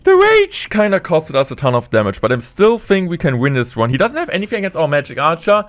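A young man streaming gameplay from a room indoors, talking through each move in steady play-by-play with excited bursts at big plays, a little sick. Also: on the high side, quick pace. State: slightly sick. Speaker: game streamer. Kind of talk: live gameplay commentary.

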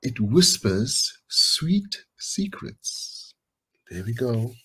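A middle-aged man reads picture books aloud to young listeners in a slightly breathy, husky voice of medium pitch. He takes his time and speaks to the children directly and casually, inviting them to take part. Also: very animated, very slow, sharp tone, low-quality recording. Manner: encouraging, inviting listeners to join in. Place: indoors, small home room.

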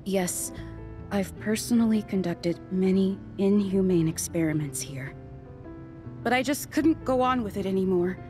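A woman's voice: mid-range voice, measured pace, a little mellow, faint music behind, lightly acted. Woman to play guilt-laden adult researcher for anime game, mid-range voice, sincere, hesitant. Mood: remorseful.

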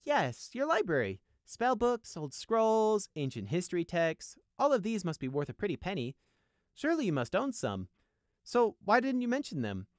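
A man's voice: a little high, big pitch swings, fairly quick, no room sound, studio quality, pitched above the performer's own voice. A man voicing a sly, spiteful male captive creature who talks with false politeness in a coaxing, pleasant manner for a story. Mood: slyly pressing.

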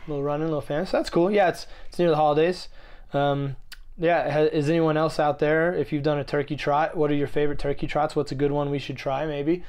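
A man co-hosting a casual podcast, voice a little raspy from a cold, joking and laid-back. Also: fairly high pitch, steady tone, fairly quick, a little mellow. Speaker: podcast co-host. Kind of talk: casual chat.

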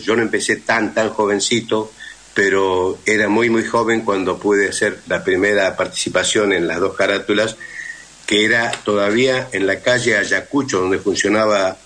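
A man's voice: fairly low pitch, steady tone, normal speed, fairly bright tone. A man with an Argentine accent, a radio actor speaking as a guest in a conversational interview. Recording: faint hiss.

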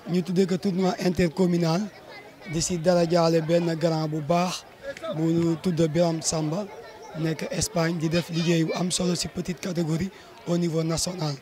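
A young Senegalese coach, a man, gives an interview in an even, little-varied voice. He is outdoors on a handheld mic, with a faint crowd behind him. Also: on the high side, moderate pace. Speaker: youth football coach. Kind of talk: on-camera interview. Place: outdoors on a sandy football pitch. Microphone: handheld reporter microphone.

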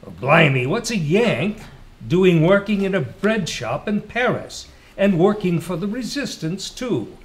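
A man's voice: high voice, sing-song, measured pace, pitched above the performer's own voice. A man voicing a cheerful young British pilot with a British accent, chatty and breezy, in a storybook reading.

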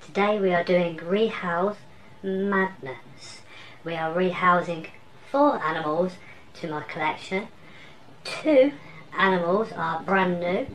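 A man's voice: sits high, a bit bright, a little hiss or hum, low-quality recording. A man imitating an upbeat woman pet youtuber, giving a cheerful channel intro in a room lined with enclosures. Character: bubbly female exotic-pet youtuber.

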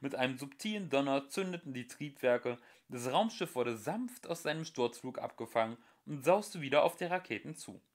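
A young man narrating a home-made audiobook with lively, expressive storytelling. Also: medium pitch, moderate pace, hardly any pauses. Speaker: audiobook reader. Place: home recording.

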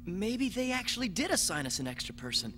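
A man voicing an earnest, puzzled and sensitive young cadet in an animated sci-fi dub. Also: high voice, brisk, noisy background. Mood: bewildered. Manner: earnest, wondering.

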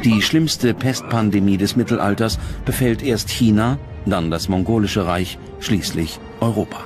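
A man narrating a documentary script in a grave, measured, dramatic way. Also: lowish voice. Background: prominent background music.

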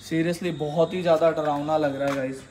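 A man vlogging as he explores an abandoned school by day, talking in an excited, spooked way and mixing in English words. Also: on the high side, normal speed, low-quality recording. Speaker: vlogger exploring a haunted place. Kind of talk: casual walk-through vlog. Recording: loud insect and cricket noise behind.

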